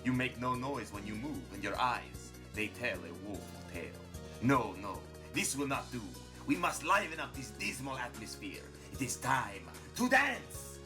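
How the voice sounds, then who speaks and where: A man's voice: medium pitch, very animated, a little slow, music in the background, pitched above the performer's own voice. A man voicing a flamboyant, theatrical dancing monster who challenges in grandiose, showy exclamations, in a dramatic story reading. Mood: flamboyant, challenging.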